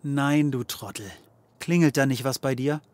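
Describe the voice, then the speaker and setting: An actor playing a cocky, scheming occult detective in a comedy audio drama, wry and theatrical. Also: male voice, medium pitch, normal speed, darkish tone, lightly acted. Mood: sardonic, patronising. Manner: wry, theatrical, mock-patronising.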